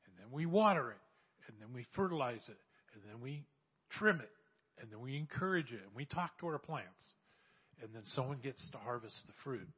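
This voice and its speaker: Man, 60s, husky church speaker, urgent exhortations, lo-fi muffled recording. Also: medium pitch, moderate pace. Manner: animated, exhorting, repeats phrases. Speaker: church speaker sharing mission testimony. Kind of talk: sermon testimony.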